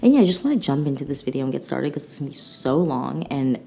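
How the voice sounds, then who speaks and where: A woman's voice: rumbling low, sing-song, quick pace, gravelly, dark tone. A slightly congested woman with a nasal voice, chatting casually and enthusiastically as a beauty vlog host reviewing products. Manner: gushing, enthusiastic.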